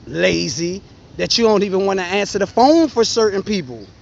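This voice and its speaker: A man's voice: moderate pace, creaky, a little hiss or hum. A man, co-hosting a casual debate and telling stories animatedly. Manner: animated storytelling, quotes others in high voices. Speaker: podcast co-host. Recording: dull lo-fi sound.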